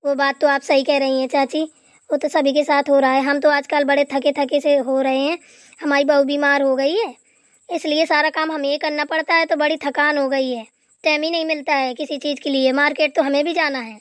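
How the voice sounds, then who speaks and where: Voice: high voice, level tone, brisk, fairly bright tone, dry recording. A performer voicing a dutiful, overworked village daughter-in-law in a Hindi cartoon, chatty and deferential. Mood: polite, tired. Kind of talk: Hindi family cartoon dialogue.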